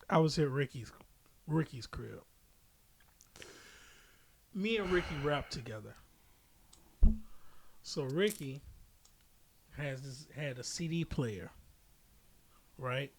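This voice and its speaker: Male podcast co-host, higher register, slangy and profane storyteller, noisy recording. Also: animated, slow, long pauses. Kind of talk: casual banter and storytelling. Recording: clear background noise, clicks.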